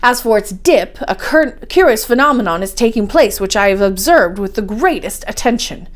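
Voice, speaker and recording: A woman's voice: mid-range voice, expressive, moderate pace, close to an everyday voice. A woman voicing a learned, confident older professor uncle in a story, speaking in an assured, didactic way. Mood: lecturing.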